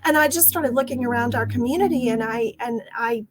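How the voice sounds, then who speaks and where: A woman's voice: a little high, brisk. A middle-aged woman with a Texas accent, a franchise owner telling her story in an interview, earnest and rambling with fillers and restarts.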